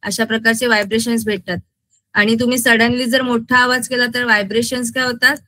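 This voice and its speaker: A woman's voice: a little high, little pitch movement, fast, sharp tone, no echo. A woman school science teacher with a Maharashtrian accent, lecturing interactively with English terms mixed in and often asking check questions as she explains.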